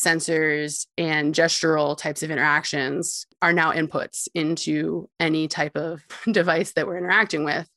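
A woman's voice: low voice, fairly bright tone, no room sound, clean studio sound. A woman guest giving long explanatory interview answers about technology, often with a light laugh.

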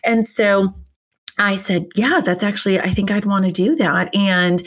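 A woman's voice: mid-range voice, steady tone, unhurried. A woman in her forties from New Hampshire, chatting as a podcast guest and telling her career story in a chatty, self-deprecating way. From New Hampshire, USA. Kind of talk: casual interview storytelling.

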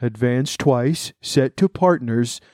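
A man voicing a sorrowful, sighing sea turtle creature in a children's storybook, with a slow, dramatic manner. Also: medium pitch, a little mellow, no room sound, studio quality, natural voice. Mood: excited. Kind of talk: children's storybook character reading.

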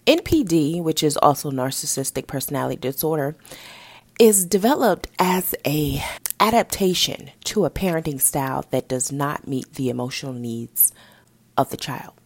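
A woman hosting a mental health podcast, a little raspy and nasal from a head cold, teaching in an upbeat, encouraging way. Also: deep voice, moderate pace, no echo. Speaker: podcast host. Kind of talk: podcast education talk.

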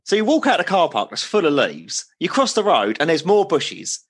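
A man's voice: a little high, huge pitch swings, fairly quick, husky. A man in his thirties co-hosting a podcast, ranting in exasperation and swearing often. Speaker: podcast co-host. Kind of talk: casual banter.

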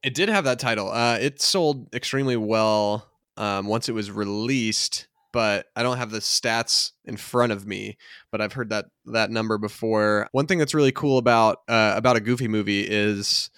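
A young man in his twenties chats casually as a podcast co-host, talking fast with plenty of "like" and "um." His voice sits in a middle pitch. It is recorded close and dry, with no room sound. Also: a bit bright, clear, resonant tone, no room sound. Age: 20s. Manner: quick, chatty, frequent fillers. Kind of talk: casual chat.

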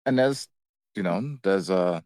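A man's voice: low-pitched, lively intonation, measured pace, a little mellow, smooth, no room sound, studio quality. A man co-hosting a casual, unscripted relationship chat, talking in a colloquial, matter-of-fact way. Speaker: co-host of a couples' relationship show.

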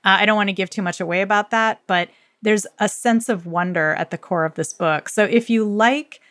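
A woman's voice: mid-range voice, a little fast, a bit bright, no echo, clean studio sound. A woman co-hosting a podcast, chatting casually and effusively in colloquial, slangy language as she recommends books. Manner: enthusiastic, effusive. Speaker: podcast co-host. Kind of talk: casual book recommendation chat.

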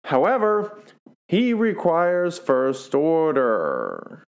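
A man's voice: on the high side, wildly swooping pitch, extremely slow. A man co-hosting a podcast in casual chat, easygoing and agreeable. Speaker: podcast co-host.

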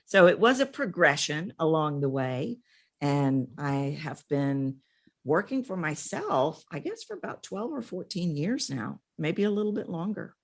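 A middle-aged woman, telling her story as an interview guest in an expressive, emphatic way with dramatic pauses. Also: low voice. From Texas, United States.

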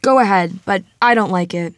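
A woman's voice: mid-range voice, moderate pace, dry recording, natural voice. A woman acting a bossy, protective young bridesmaid at a screenplay table read, scolding and emphatic.

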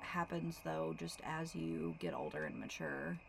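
A young Texan woman thinking out loud in a measured, reflective way during a casual chat. Her voice sits slightly low. Faint noise runs under her talk. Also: animated, vocal fry. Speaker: podcast co-host.

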